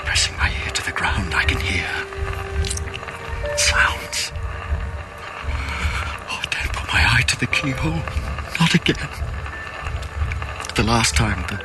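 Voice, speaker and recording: Terrified dreamer, radio play, slow delivery, low voice, music underneath. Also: male voice, poor audio. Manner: hushed, fearful, whispering. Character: terrified man haunted by a midnight dream. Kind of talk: radio drama acting.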